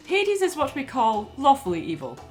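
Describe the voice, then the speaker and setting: A woman's voice: sits high, huge pitch swings, normal speed, soft background music. A woman delivering scripted commentary as the narrator of a video essay.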